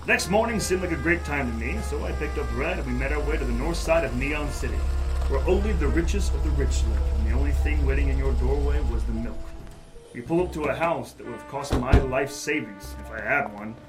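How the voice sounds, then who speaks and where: A man's voice: medium pitch, natural voice, poor audio. A man playing a wry noir private eye over music. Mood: wry narrating. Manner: wry, measured, hardboiled. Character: male private investigator, wry noir gumshoe narrator. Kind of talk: noir audio drama narration and dialogue. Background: music under the voice.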